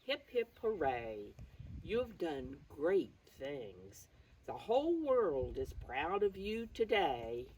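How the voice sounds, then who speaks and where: An older woman reading aloud to young children, pausing to explain things in simple words. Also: deep voice, big pitch swings, a little mellow, breathy edge. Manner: addresses children simply, calls self by name in third person. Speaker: preschool storytime reader. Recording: distant mic, faint background noise.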